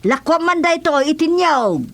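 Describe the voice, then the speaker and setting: A woman's voice: high-pitched, big pitch swings, measured pace, over loud music. A woman playing an older village auntie in a radio comedy drama, bossy, chatty and helpful as she instructs. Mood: helpful, instructing.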